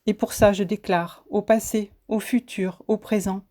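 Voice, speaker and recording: A woman reciting spoken-word poetry in a solemn, declamatory manner, phrasing each line deliberately. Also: medium pitch, steady tone, mellow. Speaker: poet reciting own poem.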